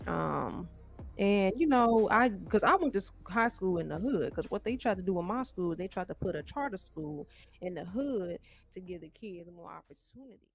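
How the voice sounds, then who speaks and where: A woman's voice: mid-range voice, lively intonation, moderate pace, gravelly, faint music behind. A woman guest chatting casually, speaking in a candid, informal way. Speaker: show guest. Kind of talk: casual chat.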